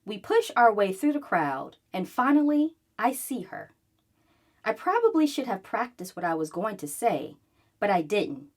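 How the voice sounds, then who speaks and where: A woman reading a children's book aloud in an expressive, animated way, acting out the story as she goes. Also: mid-range voice, a little slow.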